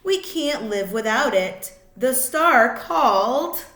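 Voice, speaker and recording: A woman reads a children's storybook aloud in a fairly high, very animated voice. She goes phrase by phrase, clearly shaping it for young listeners. The recording has a roomy echo. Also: a little hiss or hum. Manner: deliberate, expressive, phrase-by-phrase delivery for young listeners. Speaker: teacher reading a children's book aloud.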